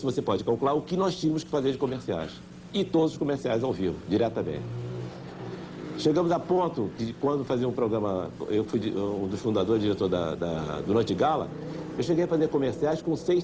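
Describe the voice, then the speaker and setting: An older man with a Brazilian accent recalling his work as a director, halting and repeating words. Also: medium pitch, expressive. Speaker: veteran TV director. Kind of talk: interview recollection. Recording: faint noise, old TV tape.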